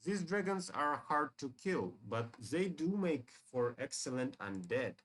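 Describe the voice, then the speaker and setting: Man acting a scheming game necromancer, taunting, grandiose, slow, swinging pitch. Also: very animated, a bit bright, heavily acted. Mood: gloating. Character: gloating, scheming male necromancer villain of a fantasy game.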